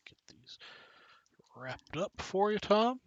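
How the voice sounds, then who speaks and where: A man's voice: high-pitched, big pitch swings, slow, dry recording. A man hosting a live card break stream, chatting casually and joking with viewers while reading out cards and names. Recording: dull lo-fi stream audio.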